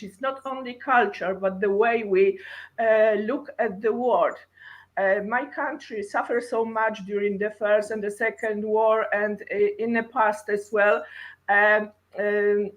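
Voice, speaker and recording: A middle-aged woman from Poland with a Polish accent, speaking English in an earnest, halting way as she searches for words in an interview. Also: medium pitch, fairly bright tone, highs cut off. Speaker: guest poet and translator. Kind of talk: casual interview.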